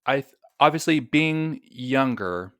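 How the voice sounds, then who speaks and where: Smooth-voiced stuttering man, reflective. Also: medium pitch, lively intonation, takes it slowly, a bit bright, no echo, studio quality. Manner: reflective, light stutter, frequent agreeing backchannels. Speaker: podcast guest, comedian. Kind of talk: casual podcast interview.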